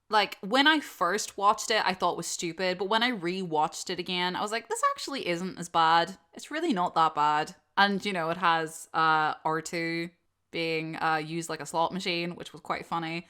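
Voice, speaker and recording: A woman host answering questions in a casual, opinionated and animated chat, swearing freely. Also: lowish voice, a little slow, clear, resonant tone. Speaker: host of an audio channel.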